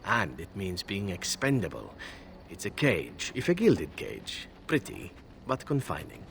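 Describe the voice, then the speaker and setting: An actor playing a flirty, roguish elf assassin in a video game, talking in a suave, wry, theatrical way. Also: male voice, low voice, wildly swooping pitch, a little slow, creaky, a bit bright, a little hiss or hum, exaggerated voice. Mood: wry, nonchalant. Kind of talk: video game character acting.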